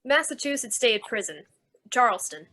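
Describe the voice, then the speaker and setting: A woman's voice: fairly high pitch, sing-song, moderate pace, bright, forceful tone, exaggerated voice. A woman playing a firm, level-headed carnival worker in radio noir style, direct and bossy. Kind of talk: 1940s radio noir acting.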